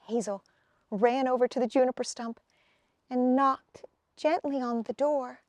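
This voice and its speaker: A woman's voice: sits high, fairly even intonation, unhurried, darkish tone, dry recording. A woman narrating a children's picture book expressively, as a storybook reader.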